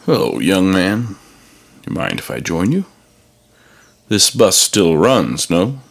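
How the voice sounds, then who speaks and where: Man playing a mysterious old stranger, very deep voice, gravelly, slow whispery delivery, storybook. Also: big pitch swings, exaggerated voice. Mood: gentle. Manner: slow, hushed, drawn-out speech, dropping to a whisper.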